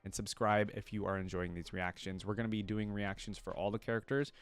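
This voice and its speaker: A man's voice: on the low side, little pitch movement, a little fast, clean tone. A man hosting a reaction video, talking casually and thinking aloud in a rambling way, often checking himself with a questioning tag. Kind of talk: casual reaction commentary to camera.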